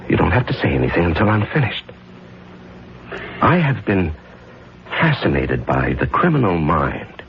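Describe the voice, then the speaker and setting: An actor with an American accent playing a smooth, patronizing middle-aged judge with a dark secret, in 1970s radio drama style. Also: male voice, deep voice, big pitch swings, normal speed, pauses often. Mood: intense persuasion. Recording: dull, noisy old radio broadcast.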